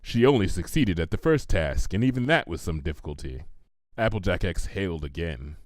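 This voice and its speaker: A man reading a scripted story as narrator in an expressive storytelling manner. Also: sits low, a little fast, dry recording. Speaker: fan fiction reader.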